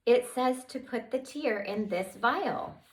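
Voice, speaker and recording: A woman reading aloud, voicing a kind, caring young girl who speaks gently and politely. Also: on the high side, slow pace, pitched above the performer's own voice.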